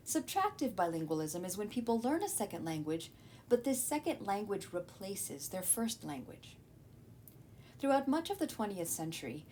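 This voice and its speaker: A woman narrating a scripted educational video as a teacher, explaining clearly. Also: a little mellow. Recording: noticeable low rumbling background noise.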